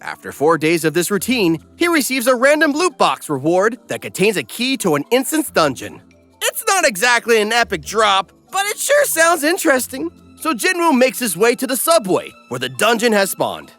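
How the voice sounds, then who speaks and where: A man giving scripted comedic recap narration with a wisecracking, animated manner. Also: very high voice, normal speed, faint music behind. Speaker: narrator, host.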